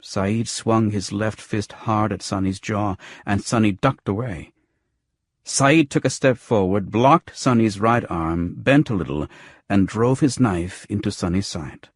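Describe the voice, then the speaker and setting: A man's voice: on the low side, measured pace, no echo, clean studio sound. A man narrating scripted first-person noir fiction as an audiobook.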